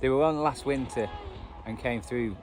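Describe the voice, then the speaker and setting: A man talks casually as a hobbyist video host, in a rambling, self-deprecating way. His voice sits at a middling pitch. The recording is outdoors, with clear background noise behind him. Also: normal speed, darkish tone. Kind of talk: casual unscripted commentary. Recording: noisy outdoor recording, radio static and traffic.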